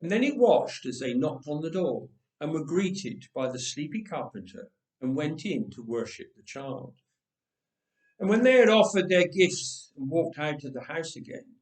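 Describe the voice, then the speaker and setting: A man telling a story as an expressive narrator, with measured, dramatic phrasing. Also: medium pitch. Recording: roomy echoing voice recording.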